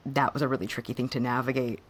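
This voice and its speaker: A hoarse woman co-hosting a podcast, chatting casually and haltingly about personal matters, her voice fading a bit. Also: a bass voice, flat delivery. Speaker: podcast co-host.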